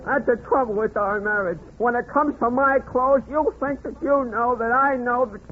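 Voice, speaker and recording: An actor playing a meek, henpecked husband who complains mildly and plaintively, in 1940s radio comedy style. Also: male voice, very high voice, steady tone, moderate pace, narrow, tinny audio. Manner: folksy, hesitant, complaining mildly. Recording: very noisy, thin 1940s radio.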